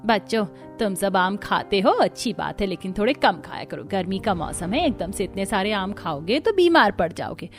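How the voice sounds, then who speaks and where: A woman voicing a worried grandmother in an animated story, speaking gently and cautioning the children. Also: medium pitch, moderate pace, few pauses. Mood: gentle warning. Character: worried old village grandmother. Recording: music under the voice.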